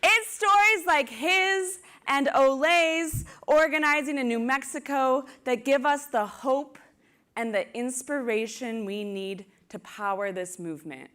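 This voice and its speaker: Upbeat woman host, high bright voice, hyping the room. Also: a little slow, clean tone. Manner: upbeat, hypes the crowd, laughs easily. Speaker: convention organizer and host. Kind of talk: live event hosting and announcements. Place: large ballroom.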